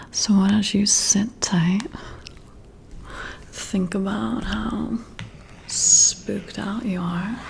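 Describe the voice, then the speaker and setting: A woman playing a friendly, competitive female boss working late, in a soft breathy whisper, hushed and gently teasing in an ASMR roleplay. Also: medium pitch, slight background noise. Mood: playful, encouraging.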